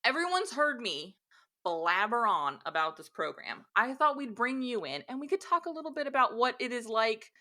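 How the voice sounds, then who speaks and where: A woman's voice: a little high, very animated, bright, forceful tone. An American woman hosting a podcast interview, upbeat and enthusiastic in casual chat. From United States. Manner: upbeat and enthusiastic, quick reactions. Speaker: podcast host.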